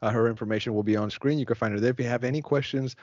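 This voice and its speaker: Upbeat slangy podcast host, lo-fi. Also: male voice, mid-range voice, fairly even intonation, very fast, a little mellow, dry recording. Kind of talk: casual chat. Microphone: video call. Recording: dull lo-fi sound.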